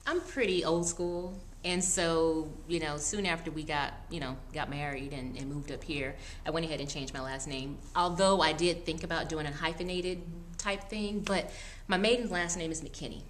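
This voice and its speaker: A woman in her thirties chats as a guest, easygoing and matter-of-fact. Her voice is low, with a faint room hum behind it. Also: steady tone, moderate pace. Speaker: guest mom. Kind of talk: casual chat.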